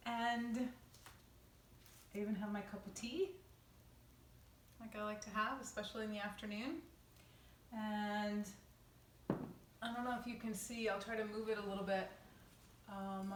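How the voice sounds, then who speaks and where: A woman teacher reading a picture book aloud to children, with casual asides and expressive delivery. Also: medium pitch, slight background noise. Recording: roomy echo, distant microphone.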